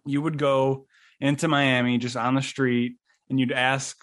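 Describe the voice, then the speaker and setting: A man's voice: medium pitch, little pitch movement, measured pace, a bit bright, no room sound, studio quality. A man from western New York hosting a casual interview, asking questions with lots of fillers and restarts. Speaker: podcast host.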